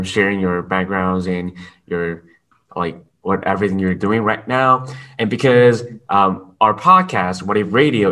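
A man hosting a podcast, talking in a hesitant, halting way. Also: on the low side, fairly bright tone, recorded from a distance. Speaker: podcast host. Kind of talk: casual podcast interview.

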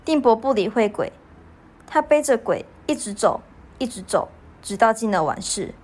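A woman's voice: on the high side, sing-song, moderate pace, darkish tone, faint music behind. A teenage girl narrating a scripted story for a student radio drama.

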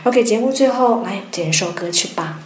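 A woman's voice: mid-range voice, animated, normal speed. A woman hosting a conversational radio interview, speaking in a reflective, empathetic manner. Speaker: radio program host. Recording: roomy echo, faint background noise.

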